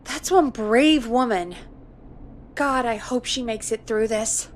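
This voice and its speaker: High-voiced, supportive radio producer. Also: female voice, takes it slowly, a little hiss or hum. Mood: admiring. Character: supportive, concerned woman producer of late-night radio show. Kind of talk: video game voice acting.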